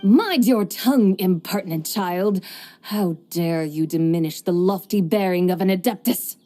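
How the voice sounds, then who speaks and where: A proud immortal horse spirit from a fantasy game, played by a woman. She speaks with lofty, formal authority and is quick to scold. Her tone is mellow and dark, and faint music plays underneath. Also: lowish voice, lightly acted. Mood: haughty. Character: proud ancient female horse spirit, stern goddess of farming. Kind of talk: video game voice acting. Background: game soundtrack music.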